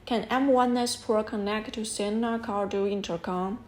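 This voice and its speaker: A woman's voice: medium pitch, fairly even intonation, normal speed, darkish tone. A woman presenting a product review as scripted narration, explaining things step by step in an instructional way.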